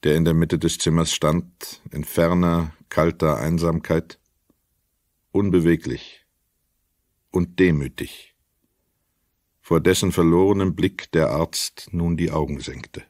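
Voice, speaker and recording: A man's voice: sits low, steady tone, takes it slowly, long pauses, darkish tone, no room sound, studio quality. A man reading scripted literary narration as the narrator of a crime radio play.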